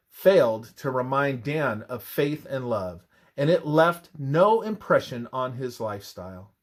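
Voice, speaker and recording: A man reading a scripted religious narration in a measured, expressive manner. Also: mid-range voice.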